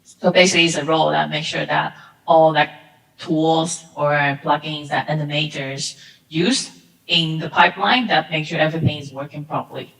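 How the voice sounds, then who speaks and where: A woman's voice: low voice, fairly even intonation, normal speed, vocal fry, fairly bright tone. A woman answering interview questions as a technical director guest, hesitant and repeating words. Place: theater. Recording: echoey hall.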